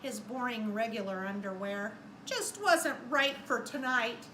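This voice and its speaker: An echoey room with faint noise carries a woman teacher's high voice. She reads a storybook to young children at an unhurried pace, adding simple asides and questions in an expressive delivery. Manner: animated, deliberate, simple questions and prompts for young listeners.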